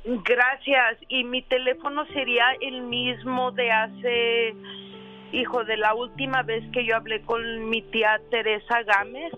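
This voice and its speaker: A woman from El Paso, Texas, calling into a radio show and speaking in a hesitant, halting way. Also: on the high side, flat delivery. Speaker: caller. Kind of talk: phone call to a radio show. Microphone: telephone. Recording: tinny phone line, faint music.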